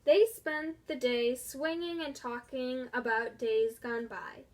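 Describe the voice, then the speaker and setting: A woman reading a children's storybook aloud in a deliberate, expressive way. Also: high-pitched. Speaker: storybook reader. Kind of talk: scripted children's story narration.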